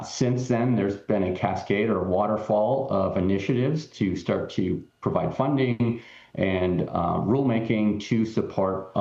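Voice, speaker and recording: A man's voice: sits low, creaky, darkish tone. A man, a company chief executive, answering questions in a TV interview over a remote link, explaining earnestly with frequent ums and restarts. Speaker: tech company CEO guest. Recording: roomy, dull remote feed.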